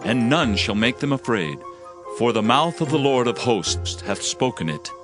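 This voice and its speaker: An actor playing a fervent, solemn prophet in a dramatized scripture reading, declaiming judgment and hope. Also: male voice, medium pitch, sing-song, normal speed, lightly acted. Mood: solemn, exalted. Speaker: Bible narrator. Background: music.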